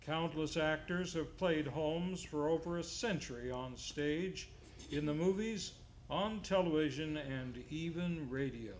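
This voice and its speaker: A man's voice: fairly high pitch, unhurried. Theatrical male radio host, noisy live recording. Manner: theatrical announcing, playfully comic. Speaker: series host and announcer. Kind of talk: live old-time radio show introduction, scripted. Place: theater stage before a live audience.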